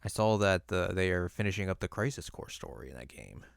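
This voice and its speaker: A man's voice: low-pitched, sing-song, brisk, gravelly, no echo, studio quality. A man co-hosting a podcast in casual conversation. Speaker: podcast co-host. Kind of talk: casual chat.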